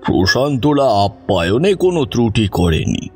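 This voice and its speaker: A man playing a grave grandfather who tells of evil spirits in a measured, suspenseful horror audio story. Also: mid-range voice, big pitch swings, darkish tone, slightly rough, soft background music. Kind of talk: slow dramatic horror storytelling.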